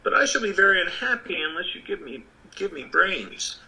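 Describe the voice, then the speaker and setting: A man acts out a naive straw man from a storybook. The voice is lifted high and bright, with an earnest, pleading manner. He sounds wistful and eager. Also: very animated, moderate pace, exaggerated voice, rough recording. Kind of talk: storybook reading.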